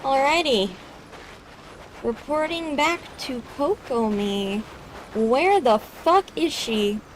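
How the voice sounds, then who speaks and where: A woman's voice: sits high, lively intonation, slow, a little hiss or hum, low-quality recording. A woman streaming a video game, giving offhand, mildly exasperated commentary and swearing casually. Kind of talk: casual let's-play commentary. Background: game music.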